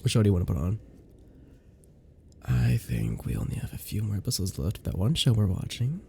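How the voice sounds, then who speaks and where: A man playing an affectionate, teasing boyfriend in an intimate ASMR roleplay, with a soft, playful, drawn-out delivery. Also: low-pitched, very animated, creaky, slightly rough, heavily acted. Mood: warm, cozy. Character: affectionate, teasing new boyfriend cuddling his girlfriend.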